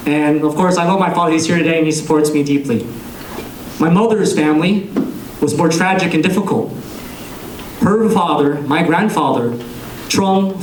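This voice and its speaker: Young male candidate, earnest and measured, slightly higher voice, recorded from a distance. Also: a little hiss or hum. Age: young adult. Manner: earnest, measured, pausing between phrases. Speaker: political candidate. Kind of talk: campaign speech. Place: large room with an audience. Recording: echoey room, captured from a distance.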